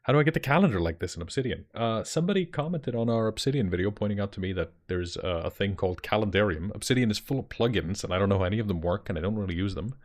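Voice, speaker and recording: A man's voice: fairly low pitch, very fast, few pauses. Icelandic-accented man chatting on stream. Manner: rambling, many restarts, answers chat. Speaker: streamer and dungeon master. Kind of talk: casual livestream chat with viewers.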